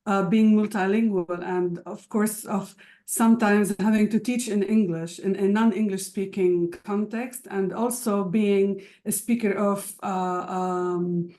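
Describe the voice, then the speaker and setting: A woman's voice: mid-range voice, fairly even intonation, measured pace, warm tone. Woman, first language Arabic, many restarts. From Palestinian citizen of Israel. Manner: thoughtful, many fillers and restarts. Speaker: university lecturer. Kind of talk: panel discussion.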